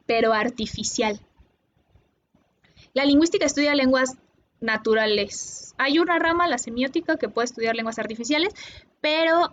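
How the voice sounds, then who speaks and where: A Mexican woman tutoring linguistics online, explaining patiently and pausing often with "eh". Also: high voice, dull audio. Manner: patient, explanatory, with many "eh" pauses.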